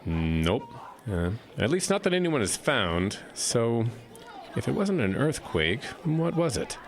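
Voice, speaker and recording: A man's voice: mid-range voice, big pitch swings, takes it slowly. A man playing a wry, easygoing science teacher in an audio drama, explaining things with hesitant starts. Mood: musing.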